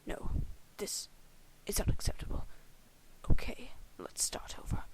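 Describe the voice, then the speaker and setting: A woman's voice: measured pace, a little mellow, in a whisper, lightly acted, low-quality recording. A woman playing a pompous, scolding alien troll. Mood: imperious. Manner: pompous, scolding, formal wording. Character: haughty, scolding alien troll, imperious and formal.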